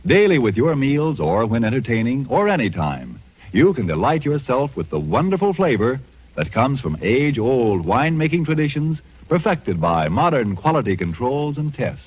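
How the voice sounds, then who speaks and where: Male vintage radio pitchman: low, very animated, polished and emphatic; lo-fi sound. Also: moderate pace, slightly rough. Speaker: commercial announcer. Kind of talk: 1940s radio advertisement, scripted narration. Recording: dull lo-fi vintage radio, music behind.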